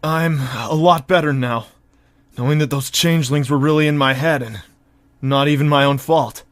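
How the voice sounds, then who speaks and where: An actor playing a commanding yet affectionate young pony prince, earnest in manner, in an audio drama. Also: male voice, fairly high pitch, normal speed, no echo, clean studio sound, natural voice. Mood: relieved.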